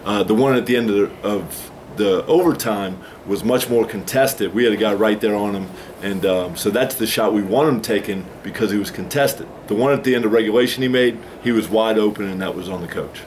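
A man in his forties talks with a slightly low voice, steady and explanatory. He answers postgame questions from a press table in a small room with a faint ambient hum behind him. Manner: measured, explanatory, takes responsibility. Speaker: head basketball coach.

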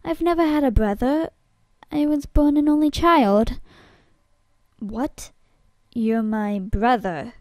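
A woman acting a bewildered, defensive girl in an amateur audition for a drama series, with dramatic, deliberate delivery. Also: high-pitched, darkish tone, no echo. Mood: disbelieving.

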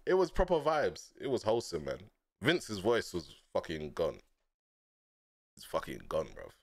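A man, chatting casually on a stream from a small dim room through a headset, telling stories in relaxed slang and laughing often. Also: low voice, normal speed, dry recording, studio quality. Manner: laid-back slangy storytelling, laughs often.

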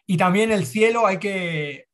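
A middle-aged man with a fairly high voice gives kind, rambling critiques of watercolors. He speaks over a video call from a room at home, restarting phrases as he hunts for the right word. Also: a little slow. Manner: encouraging, hesitant repeats. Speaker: watercolor teacher giving feedback.

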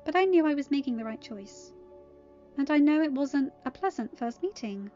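A woman playing a gentle young storybook princess with a high voice. She is earnest, loyal and dutiful, pleading from the heart on a slightly muffled recording. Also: animated, moderate pace. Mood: fond. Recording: muffled lo-fi audio with music.

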